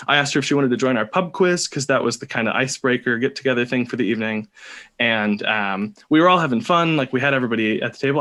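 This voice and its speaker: Male podcast guest, Californian accent, medium pitch, informal anecdotal delivery with hesitant, wandering phrasing. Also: a bit bright. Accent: Californian American. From California, United States. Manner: hesitant, rambling, with many pauses. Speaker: podcast guest telling a personal story. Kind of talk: casual anecdotal storytelling.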